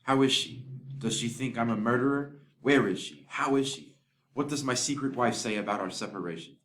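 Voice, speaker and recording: A man's voice: mid-range voice, brisk, fairly bright tone, lightly acted. A man voicing a lovesick, despairing young man who speaks with passion in a scripted audio drama. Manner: impassioned, pleading. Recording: echoey room.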